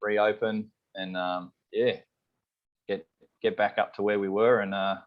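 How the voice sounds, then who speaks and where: Deep-voiced Australian chef, rambling. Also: male voice, a little slow. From Sydney, Australia. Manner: rambling, relaxed, many fillers like "you know" and "um". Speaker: head chef, guest. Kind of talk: casual interview chat. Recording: slightly dull lo-fi audio.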